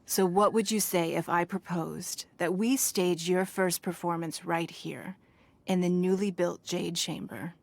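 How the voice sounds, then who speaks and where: Shrewd rich lady leader, lowish even voice, measured, authoritative, game cutscene. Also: gravelly. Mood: calm. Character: poised wealthy female city leader, shrewd and commanding.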